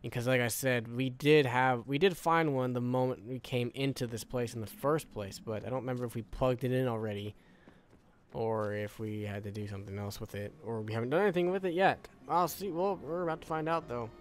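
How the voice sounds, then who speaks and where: A man's voice: medium pitch, fairly even intonation, a little fast, a little hiss or hum. Tired man muttering game commentary. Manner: rambling, muttering to himself, repeats phrases. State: tired, voice wearing out. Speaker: gaming video creator. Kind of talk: casual let's-play commentary.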